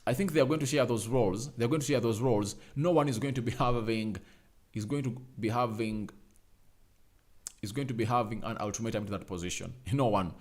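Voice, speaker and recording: Young male streamer host, mid-pitched, chatty and repetitive, punches lines up loud when urging likes. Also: brisk. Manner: reads out viewer names and comments, repeats phrases, urges likes; emphatic, rising to loud calls. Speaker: fan channel host. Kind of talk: casual live-stream chat.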